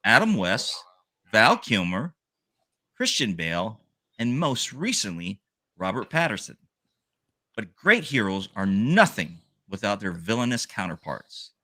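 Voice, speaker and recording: A man's voice: lowish voice, bright, forceful tone, no room sound. A man co-hosting a comic book podcast, reading a scripted sales rundown and joking with his co-hosts. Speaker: podcast co-host.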